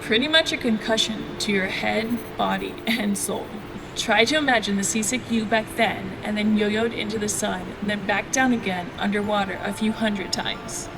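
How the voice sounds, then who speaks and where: A woman's voice: medium pitch, normal speed, hardly any pauses, background noise, close to an everyday voice. A woman playing a worldly, roguish seafaring healer in a fantasy drama, teasing, folksy and sure of herself. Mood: matter-of-fact. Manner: teasing, folksy, self-assured. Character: wry, cocky seafaring female healer, worldly rogue. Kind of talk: scripted fantasy audio drama dialogue.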